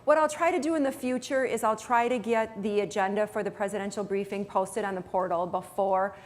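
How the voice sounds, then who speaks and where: A woman's voice: medium pitch, fairly quick. Chatty middle-aged woman in an echoey auditorium. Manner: chatty, self-deprecating, many restarts. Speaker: college president. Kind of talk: casual address to staff. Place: large auditorium with audience. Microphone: handheld mic, far camera.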